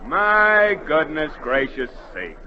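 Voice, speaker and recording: High-pitched, fussy townsman, old radio. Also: very animated, slow pace. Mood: fussy, excited. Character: fussy, inquisitive, loyal townsman in storybook kingdom. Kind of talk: 1940s radio acting. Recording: muffled 1940 radio recording.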